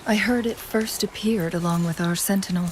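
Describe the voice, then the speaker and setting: An actress playing a poised, gentle young woman guide in a video game, speaking in a measured, softly formal way over faint noise. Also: medium pitch, close to an everyday voice, poor audio. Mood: composed. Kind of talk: video game story dialogue.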